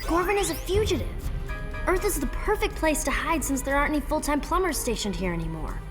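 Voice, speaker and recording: A woman voicing an earnest, bossy teenage heroine in an animated video game. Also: sits high, a little fast, long reverb tail, music in the background. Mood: urgent, worried.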